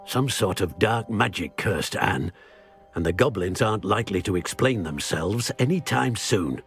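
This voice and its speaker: An actor playing a stern, bitter middle-aged uncle who talks harshly and dismissively, in a video game cutscene. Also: male voice, lowish voice, animated, moderate pace, fairly bright tone, soft background music. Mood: cold.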